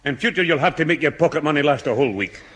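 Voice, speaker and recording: A fed-up old scottish grandpa, preachy, husky highish voice that jumps about, 60s radio comedy. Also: male voice, lively intonation, rapid-fire, a bit bright. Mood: exasperated, scolding. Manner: preachy, indignant. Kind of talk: 1960s radio sitcom acting. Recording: 1960s radio comedy broadcast.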